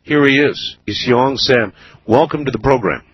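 A man hosting a radio call-in show, speaking in a slow, deliberate, measured way with pauses. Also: mid-range voice, slightly rough, dry recording, lo-fi sound. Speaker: radio talk show host. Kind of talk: late-night radio call-in interview.

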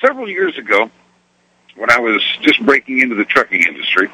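Animated male caller with a husky voice. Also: medium pitch, fairly quick, low-quality recording. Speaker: radio show caller, trucker. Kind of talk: unhurried anecdotal storytelling.

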